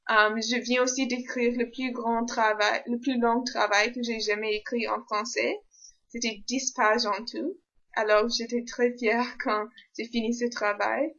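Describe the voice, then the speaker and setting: A young woman whose first language is English chats in a casual vlog. She talks slowly and hesitantly, often pausing on fillers while reaching for the right word. The recording sounds a little dull and lo-fi. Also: fairly high pitch, flat delivery, a bit bright. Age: young adult. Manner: hesitant, frequent "euh" fillers, searches for words. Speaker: university student vlogger.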